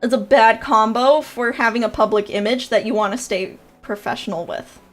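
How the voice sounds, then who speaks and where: A woman's voice: a little high, normal speed, faint music behind. A young woman with a nasal voice, chatting casually and rambling on a livestream, with lots of fillers and laughs. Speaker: VTuber streamer and creator coach.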